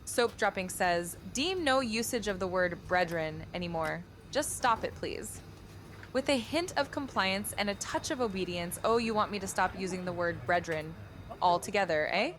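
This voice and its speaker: A woman's voice: medium pitch, expressive, normal speed, a little hiss or hum. A woman's voice reading chat requests and stiff, formal replies, repeating the same odd word of address. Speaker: chat-command voice reading messages.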